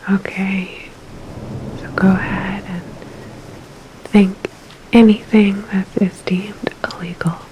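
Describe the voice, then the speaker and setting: A woman playing a calm, reassuring underground hacker in a cyberpunk ASMR roleplay, speaking softly with gentle, hesitant pauses. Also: mid-range voice, slight background noise, lightly acted, low-quality recording. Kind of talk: ASMR roleplay, personal attention.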